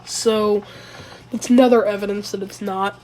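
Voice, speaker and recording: A woman who is sick and raspy, talking through a casual commentary video in a rambling, hesitant way and often correcting herself. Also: medium pitch, steady tone, normal speed, a little mellow, rough recording. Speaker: video creator.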